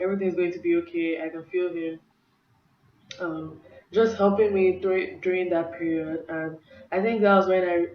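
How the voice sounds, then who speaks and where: A woman's voice: on the low side, slight background noise. A young woman from Nigeria sharing a personal faith story in an earnest, casual vlog, full of "like" and "um". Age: young adult. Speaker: vlogger. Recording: roomy, distant, slightly dull sound.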